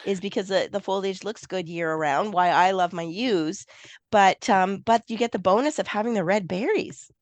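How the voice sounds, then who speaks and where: Woman with a cold, croaky voice, rambly friendly podcast talk, dry sound. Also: medium pitch, normal speed, no room sound. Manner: chatty, thinks aloud with restarts. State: hoarse from a cold. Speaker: podcast host, garden designer. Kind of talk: casual gardening podcast chat.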